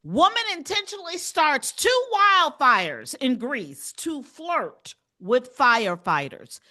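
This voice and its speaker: A woman's voice: sits high, very animated, slow pace, pushed and bright. A woman co-hosting a comedy show, reading news items aloud and riffing on them playfully. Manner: reads headlines then riffs, playful. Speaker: comedy podcast co-host. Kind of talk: reading news stories with comic asides.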